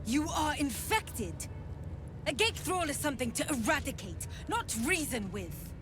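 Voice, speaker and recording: A woman's voice: high voice, big pitch swings, unhurried, music in the background. A woman voicing a hostile, scornful alien warrior guard who barks curt orders in a fantasy game. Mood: alarmed, hostile. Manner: barking, imperious, curt.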